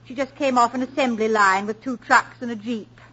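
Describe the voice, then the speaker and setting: An actress playing a gracious wife, formerly of the English stage, with a poised, gently teasing manner, in 1940s radio acting on a dull old broadcast recording. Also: female voice, on the high side, fairly even intonation, a little fast, heavy background noise, lightly acted. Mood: soothing.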